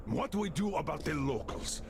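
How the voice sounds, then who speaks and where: An actor playing a gruff, bitter military commander in a video game, talking in a brusque way, swearing and barking orders. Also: male voice, fairly high pitch, expressive, a little fast, background noise. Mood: weary. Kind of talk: video game cutscene acting. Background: loud game score music.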